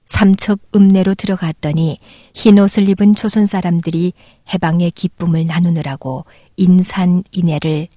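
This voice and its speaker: A woman reading a first-person memoir aloud in a calm, measured way. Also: fairly low pitch, no room sound, studio quality. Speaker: narrator.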